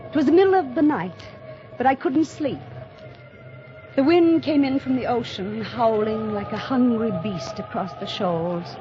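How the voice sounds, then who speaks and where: Actress as an intense, restless radio-mystery heroine. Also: female voice, medium pitch, mellow, lightly acted. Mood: restless unease. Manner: intense, dramatic, deliberate repetition. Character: restless, morbidly thrilled woman recounting a dark tale. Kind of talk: 1950s radio drama first-person narration. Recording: muffled old radio recording with music.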